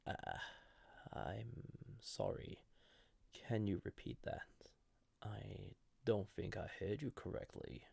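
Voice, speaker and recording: A man playing a banished ancient villain in an audio roleplay, flirty and wistful, speaking softly and deliberately in a husky, breathy voice. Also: fairly low pitch, dry recording, full character voice. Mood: unsettled, probing. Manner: hesitant, softly intimate, deliberate. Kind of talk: scripted audio roleplay addressed to listener.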